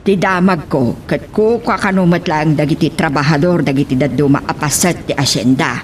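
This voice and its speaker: A woman plays a household accomplice in a radio drama, speaking with an Ilocano accent. Faint rumbling noise sits behind the voice. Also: moderate pace, close to an everyday voice. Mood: inquisitive.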